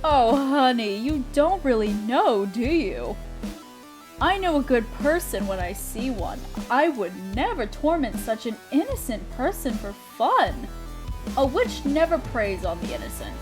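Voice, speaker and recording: A woman plays a kindly young witch in an audio roleplay, with a high voice and an unhurried, savoring delivery. She is coy and theatrical, fond of old-fashioned endearments, and swings widely in pitch over a music backing. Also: big pitch swings. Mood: kind, reassuring. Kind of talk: scripted roleplay audio drama.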